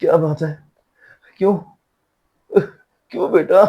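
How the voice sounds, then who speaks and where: A man playing an ailing, stubborn and pious elderly father in a moral short drama, with a husky, gravelly voice. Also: on the high side, steady tone, slow pace, mellow, highs cut off. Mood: concerned. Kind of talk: Urdu moral short-film acting.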